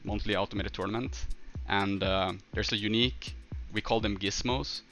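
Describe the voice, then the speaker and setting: A man from northern Sweden with a Swedish accent, chatting casually as a game livestreamer and answering viewers in a halting way, thinking aloud. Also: fairly low pitch, fairly even intonation, fairly bright tone, faint music behind.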